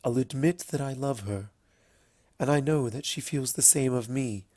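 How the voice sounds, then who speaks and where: Man voicing a gentle, unhurried, knowing pony princess. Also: medium pitch, dry recording, clean studio sound, close to an everyday voice. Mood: gentle, assured. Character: wise, fond, teasing elder pony princess, female.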